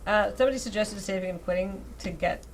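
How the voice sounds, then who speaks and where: A woman reads a visual novel aloud on a live game stream. Her delivery is expressive and casual, with wry little asides at her own expense. Her voice sits at an average female pitch, over some background noise. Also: fast talker. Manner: expressive reading broken by wry, self-deprecating asides. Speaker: streamer reading out a dating sim visual novel. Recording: clearly audible background noise.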